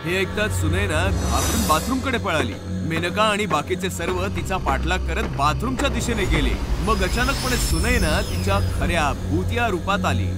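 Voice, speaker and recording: A male storyteller delivers a scripted horror tale dramatically. His voice sits high and moves widely in pitch, with music clearly playing beneath. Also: expressive, normal speed, hardly any pauses. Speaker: narrator. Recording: clear music under narration.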